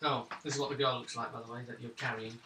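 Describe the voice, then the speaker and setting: A man running a tabletop role-playing game as game master, describing scenes in a relaxed way. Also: medium pitch, rapid-fire, background noise. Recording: roomy table recording from a distance.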